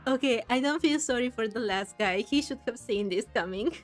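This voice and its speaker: A woman's voice: on the high side, normal speed, faint music behind. A woman streaming a game, commenting casually and musing aloud in a reactive way, sometimes reading text out. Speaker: gameplay streamer.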